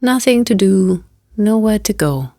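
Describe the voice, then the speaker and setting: A woman guiding a meditation as a teacher, speaking in a gentle, unhurried and invitational manner. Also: medium pitch, animated, no room sound, clean studio sound. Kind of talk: guided meditation narration.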